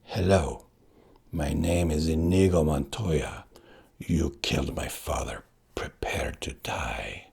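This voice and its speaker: A wounded swordsman in a storybook, speaking low and hushed with grim resolve, as a male narrator puts him on. The voice is gravelly and deep-toned, with a very husky grain. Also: unhurried, full character voice. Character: wounded Spanish swordsman, grimly determined.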